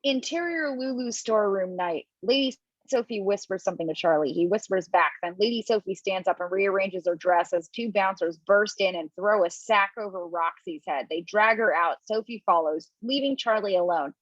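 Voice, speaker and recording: A woman reading the stage directions in an amateur table read, brisk and quick to laugh at her own slips. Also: mid-range voice, dry recording, lo-fi sound. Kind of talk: amateur table read over video call.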